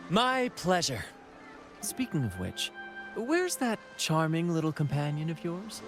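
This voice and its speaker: A showy, upbeat ship's host in a game cutscene, bouncy lively pitch. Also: male voice, a little slow, music in the background. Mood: cheerful. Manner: flamboyant, cheerful.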